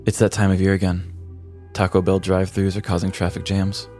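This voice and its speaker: A man's voice: sits low, monotone, fairly quick, warm tone, faint music behind. An American man co-hosting a comedy podcast, telling stories with wry, dry humor. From American Midwest. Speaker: podcast co-host. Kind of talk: casual comic podcast talk and scripted storytelling.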